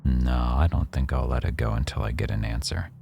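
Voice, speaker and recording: A man playing a rough-edged mafia boss who owns a cafe, teasing, probing and quietly threatening, in a boyfriend ASMR roleplay. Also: extremely low pitch, steady tone, a little fast, slight background noise, full character voice. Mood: playful, proud. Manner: teasing, probing, smugly self-assured.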